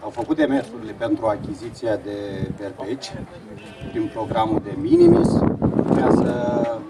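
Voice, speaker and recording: A man speaking haltingly as an official making announcements in a public speech at an outdoor event. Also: medium pitch, wildly swooping pitch. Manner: halting delivery. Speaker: chief inspector. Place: open-air fairground stage. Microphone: distant camera, speech over loudspeakers. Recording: very noisy distant outdoor event recording.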